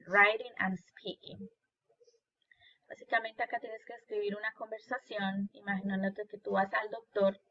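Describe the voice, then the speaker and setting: Spanish-accented woman teacher, slow and patient, bright tone, lo-fi sound. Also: medium pitch, gravelly. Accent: Spanish-speaking. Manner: patient, switching between English and Spanish translations. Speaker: English teacher. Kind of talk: recorded lesson walking through a worksheet.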